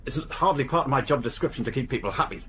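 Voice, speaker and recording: An actor playing an insolent maverick detective inspector, deadpan and flippant, in a radio drama. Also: male voice, mid-range voice, fast, slight background noise, close to an everyday voice. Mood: dry defiance.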